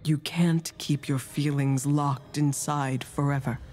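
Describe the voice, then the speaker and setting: A woman's voice: deep voice, slight background noise. An actress playing a wry, brooding female storyteller narrating a video game cutscene in a theatrical, dramatic way. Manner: slow, dramatic, hushed in places, with deadpan asides. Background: music.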